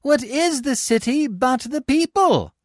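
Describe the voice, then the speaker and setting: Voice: mid-range voice, animated, normal speed, dry recording, clean studio sound, pitched above the performer's own voice, lightly acted. A reader voicing an angry Roman tribune in a theatrical Shakespeare read-aloud, declamatory and accusing. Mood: urgent, rousing.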